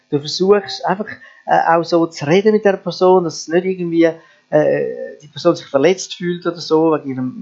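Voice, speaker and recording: Man, pastor, high voice, earnest sermon with rhetorical questions, lo-fi recording with mains hum. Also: darkish tone. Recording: dull lo-fi sound with mains hum.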